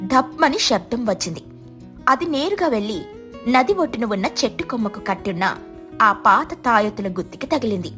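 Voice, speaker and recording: A woman's voice: a little high, animated, normal speed, fairly bright tone, faint music behind. A woman reading scripted narration for a children's cartoon story.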